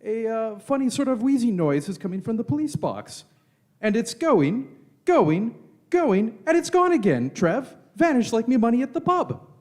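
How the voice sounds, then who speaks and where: A man's voice: very high voice, big pitch swings, normal speed. A man playing a chummy, bemused cricket co-commentator in a radio play, with a droll, chatty manner.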